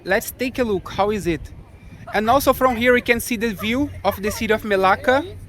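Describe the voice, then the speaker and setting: A man's voice: sits high, brisk, a bit bright, a little hiss or hum. A Brazilian man with a Brazilian Portuguese accent, chatting casually to his viewers on a walking travel vlog. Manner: chatty, addresses viewers directly. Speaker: travel vlogger. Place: outdoors in a city street. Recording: outdoor vlog with music behind. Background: music.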